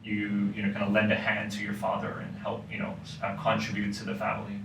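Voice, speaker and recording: Guy from hong kong chatting on a podcast, kinda monotone, lots of um and you know, echoey room. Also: lowish voice, fast talker, vocal fry. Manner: thoughtful, many "um" and "you know" fillers. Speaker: guest, university lecturer. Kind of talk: casual podcast conversation. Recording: roomy, distant mic with faint noise.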